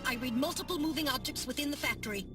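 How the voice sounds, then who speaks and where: Woman playing a polite little robot assistant, high-pitched. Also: fast talker, natural voice. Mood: cautious, alert. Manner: formal, precise, informative diction. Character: small polite robot personal-assistant navigator, dutiful and earnest. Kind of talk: video game voice acting. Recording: distant voice under loud music.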